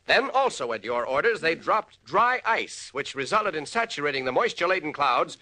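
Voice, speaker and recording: An actor playing a confident, accusing newspaper reporter with an American accent in a crisp 1940s radio serial style. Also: male voice, a little high, big pitch swings, moderate pace, sharp tone, exaggerated voice, rough recording. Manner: crisp, assertive.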